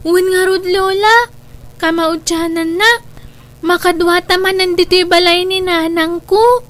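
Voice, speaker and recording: A woman's voice: very high-pitched, steady tone, a little slow, fairly bright tone. A woman playing an eager, affectionate young grandchild in a radio drama. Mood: happy, affectionate.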